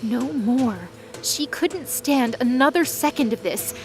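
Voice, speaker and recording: A woman's voice: on the high side, expressive, slow, a little mellow, faint music behind. A woman reading dramatic scripted narration for a fantasy audio drama.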